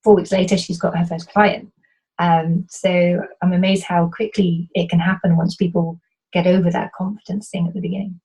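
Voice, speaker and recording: A woman's voice: fairly low pitch, little pitch movement, moderate pace, warm tone, husky, low-quality recording. A woman from Wales with a light, toned-down British accent, answering interview questions as a business coach, earnest and explanatory, often restarting her sentences. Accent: light British, toned-down Welsh.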